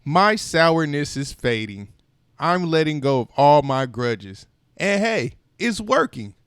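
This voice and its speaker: Expressive man reading a storybook aloud. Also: fairly high pitch, takes it slowly, smooth, no echo, clean studio sound. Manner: expressive and playful, with voiced sighs and exclamations. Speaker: storytime reader. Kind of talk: children's read-aloud narration.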